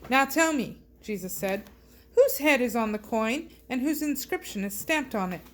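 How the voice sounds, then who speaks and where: A woman with a fairly high voice reads scripture aloud in measured, deliberate phrases. There is faint noise behind her. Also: expressive. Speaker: scripture reader and host.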